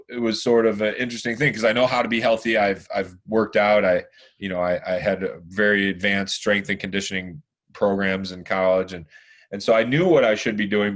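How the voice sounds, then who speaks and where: Hoarse guy in his 40s, lowish voice, rambles on with lots of um and you know. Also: fairly bright tone. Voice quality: deeper, hoarse voice. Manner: rambling, many fillers and restarts. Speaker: podcast guest. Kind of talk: casual chat.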